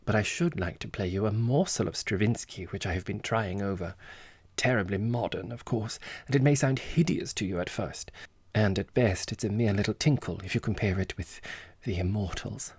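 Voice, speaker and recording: Genteel society lady, acted by a man, audiobook character, affected, coy, self-important, precious phrasing, husky, dry sound. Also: fairly low pitch, normal speed, no echo. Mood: gracious, affected. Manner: theatrical, feigned reluctance, precious phrasing.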